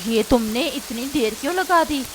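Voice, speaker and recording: A woman voices a cartoon mother-in-law bird in a high-pitched voice. She is older, bossy and fussy, used to being waited on. Faint noise sits behind her. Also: expressive, moderate pace. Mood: demanding. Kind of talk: Hindi cartoon character acting.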